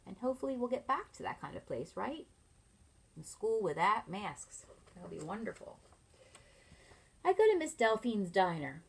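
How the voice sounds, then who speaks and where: A middle-aged woman, a teacher reading a picture book aloud to children, expressively and with pauses to ask them leading questions. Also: medium pitch, big pitch swings, gravelly, husky. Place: small furnished room at home, indoors.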